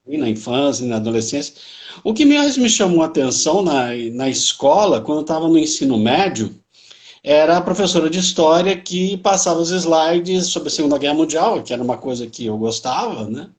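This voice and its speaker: Guest: São man, history professor, fairly high voice, modest, self-correcting. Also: lively intonation, fairly quick. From Bauru, São Paulo state, Brazil. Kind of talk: conversational interview answers.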